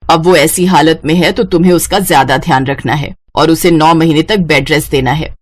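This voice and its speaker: A woman's voice: low voice, fairly even intonation, brisk, pitched below the performer's own voice. A woman voicing an elderly, motherly mother-in-law who dotes on her daughters in a family story. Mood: admonishing.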